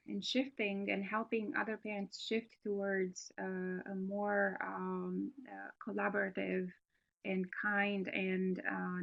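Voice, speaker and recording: Woman presenter with a Romanian accent, earnest and halting, gravelly edge, dull call-quality sound. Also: medium pitch. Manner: hesitant, many fillers and restarts, earnest. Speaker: guest presenter on parenting programs. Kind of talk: webinar presentation with audience Q&A. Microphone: video call. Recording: slightly muffled online call audio.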